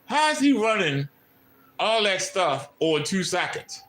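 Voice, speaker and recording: Bright-voiced man ranting in an echoey room. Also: high-pitched, very animated, measured pace. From Maryland, USA. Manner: incredulous, mocking, repeats points. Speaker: commentary channel host. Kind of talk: ranting commentary on a clip. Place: home room.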